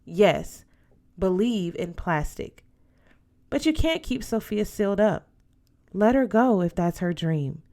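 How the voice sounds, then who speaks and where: A woman's voice: mid-range voice, expressive, takes it slowly, long pauses, mellow. Woman acting older godmother, storybook character, solemn sense of duty, emphatic counsel, firm but affectionate. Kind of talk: audiobook character voice.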